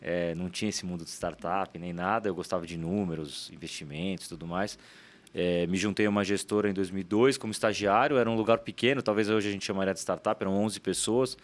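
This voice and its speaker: A man in his forties from São Paulo with a Paulistano accent, a startup founder telling his story as a guest in a casual interview, chatty and quick, often tagging "né". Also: deep voice, steady tone. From São Paulo, Brazil. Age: 40s. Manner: quick, chatty, leans on "né" tags.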